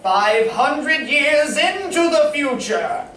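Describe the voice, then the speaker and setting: An old-time radio straight man and announcer, acted out by a stage performer, deliberate and theatrical. The voice carries from some distance in an echoey room, with faint noise. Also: high-pitched, animated, a bit bright, exaggerated voice. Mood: grand announcing. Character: Abbott, exasperated straight man and show announcer.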